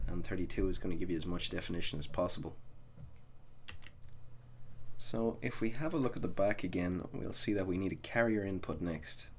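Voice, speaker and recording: A man narrating a software tutorial as the instructor, explaining each step methodically. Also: low voice, a little hiss or hum.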